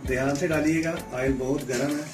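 A man with a Pakistani Urdu accent, hosting a home cooking tutorial and giving calm step-by-step instructions in a home kitchen. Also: on the high side, a little fast. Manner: calm step-by-step instructions, often saying "theek hai". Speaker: cooking channel host. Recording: background music, oil sizzling. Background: music and frying sizzle.

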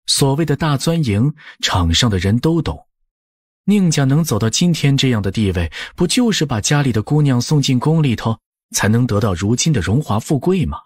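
A man narrating an audiobook as expressive scripted storytelling, with a husky voice. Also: mid-range voice, moderate pace, no echo, clean studio sound.

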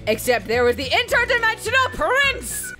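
A woman's voice: very high voice, sing-song, moderate pace, pushed and bright. A woman telling a comedic story of a game session, playful and profane, acting out the quotes as she goes. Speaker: storyteller, let's play host. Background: background music.

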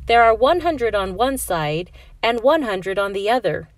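A friendly storybook giraffe, read by a woman, modest and matter-of-fact. The explaining is emphatic and measured in pace. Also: mid-range voice, big pitch swings, dry recording. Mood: pleased.

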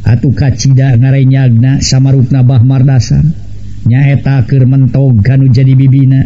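A man's voice: mid-range voice, little pitch movement, moderate pace, dull audio. A man with a Sundanese accent narrating a folk tale and addressing his listeners as a storyteller.

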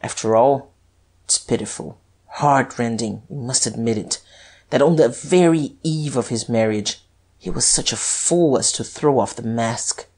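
A woman's voice: extremely low pitch, measured pace, slightly rough, full character voice. A woman voicing a mocking, hot-tempered male police detective in a dramatized audiobook. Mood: mocking, reflective. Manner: mocking, taunting, excitable.

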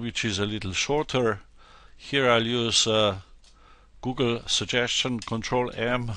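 Slovenian-accented man, bright sharp voice, slow and halting, software screencast tutorial. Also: lowish voice, dry recording. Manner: halting, hesitant delivery with frequent hesitations. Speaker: translator demonstrating software.

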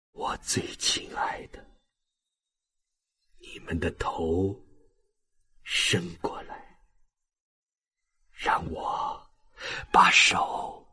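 Audiobook role: a dying, tender old man, low and very husky, halting and emotional. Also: bright, forceful tone, full character voice. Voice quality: husky, breathy, whispering. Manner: halting, emotional, fading speech. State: weak, dying. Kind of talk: audiobook character acting.

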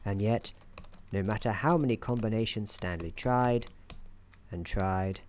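A soft-spoken man giving a scripted ASMR roleplay narration in a dryly wry, deliberate storybook manner. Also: fairly low pitch. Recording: keyboard typing and clicking noise. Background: keyboard typing, clicks.

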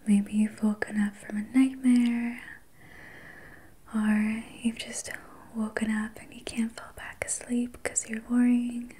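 A woman whispering softly and breathily as an ASMR host, guiding relaxation in a soothing, gentle, unhurried manner. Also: a little high, little pitch movement, breathy edge. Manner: soothing, slow, gentle personal attention. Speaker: ASMR host and reiki practitioner.

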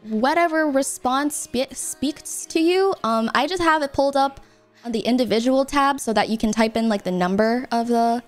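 A woman's voice: high-pitched, moderate pace, soft background music. A woman hosting a casual streamed chat with friends, rambling and playfully trash-talking.

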